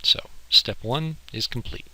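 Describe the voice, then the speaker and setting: A man's voice: mid-range voice, measured pace, pushed and bright, no room sound, low-quality recording. A man giving an informal, step-by-step lecture as an instructor, explaining technical material over slides. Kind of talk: slide lecture on audio engineering. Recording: harsh amateur recording with clicking.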